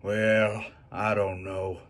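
A man voicing a weary, slow-witted outlaw cowboy in a video game parody, with a gravelly, hesitant drawl. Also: fairly low pitch, little pitch movement, a bit bright.

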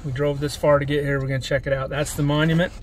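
A man's voice: on the high side, little pitch movement, fast. A man in his forties chatting easily while driving and exploring, chuckling often as he points things out. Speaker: travel vlogger. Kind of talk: casual road trip commentary. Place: inside a pickup truck, then outdoors on windy open plain. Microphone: camera on dashboard and handheld selfie. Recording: noisy car and wind background.

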